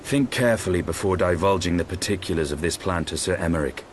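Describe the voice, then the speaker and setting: Man acting a low-voiced, grim dragoon knight. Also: a little hiss or hum, close to an everyday voice. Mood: guarded, dry. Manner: measured, formal, guarded. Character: grim, blunt dragoon knight, proud and coolly calculating. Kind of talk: scripted fantasy game dialogue, archaic formal English.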